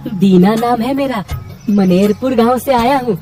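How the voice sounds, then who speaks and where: A woman's voice: a little high, a little slow, mellow, distant mic, soft background music, lightly acted. A woman voicing a polite, trusting, poor male labourer in a village cartoon. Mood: humble.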